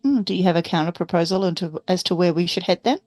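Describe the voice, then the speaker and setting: A woman's voice: fairly low pitch, fast, a little mellow, dry recording. Matter-of-fact woman player, dry sound. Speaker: player in a tabletop roleplaying game. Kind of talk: casual in-character discussion.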